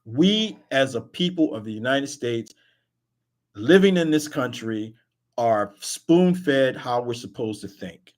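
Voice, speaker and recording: An emphatic man hosts an online talk radio show in loose, casual conversation. His voice sits at a middle pitch, and he doubles back on words when he gets heated. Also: expressive, takes it slowly. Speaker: host.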